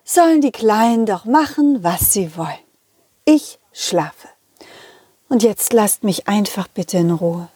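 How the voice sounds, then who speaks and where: A woman's voice: medium pitch, animated, slow pace, mellow, slightly rough, dry recording, clean studio sound. A woman voicing a grumpy, sleepy female lemon teacher who is dismissive and whiny and wants to be left alone. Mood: grumpy, weary.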